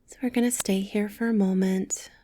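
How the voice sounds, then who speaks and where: A woman leading a guided meditation with soft, gentle, soothing instructions, breathy and often close to a whisper. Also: medium pitch, fairly even intonation, moderate pace, slight background noise.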